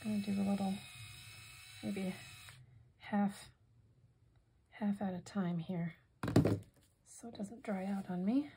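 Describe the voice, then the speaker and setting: A hoarse woman demonstrating a craft project in casual tutorial style, softly thinking aloud and drifting into whispered asides. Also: mid-range voice, steady tone, takes it slowly, pauses often, a little hiss or hum. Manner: soft-spoken, musing, drifts into whispered asides.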